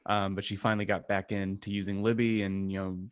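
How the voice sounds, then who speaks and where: A man's voice: lowish voice, level tone, brisk, clear, resonant tone, dry recording. A man co-hosting a podcast, chatting casually and informally. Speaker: podcast co-host. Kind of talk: casual chat.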